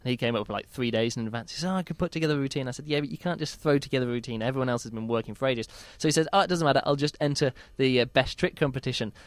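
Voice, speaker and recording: A man hosts a podcast in a casual, animated way, stumbling through fillers and restarts as he counts down a chart. His voice sits in a middle range and sounds dry and close to the mic. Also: medium pitch, very fast, few pauses, no room sound. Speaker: podcast host.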